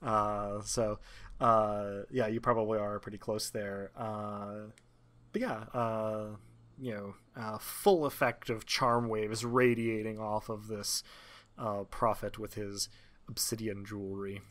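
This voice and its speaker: A man acting as game master, improvising narration of a tabletop game in a halting, conversational way. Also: on the low side, steady tone, fairly bright tone.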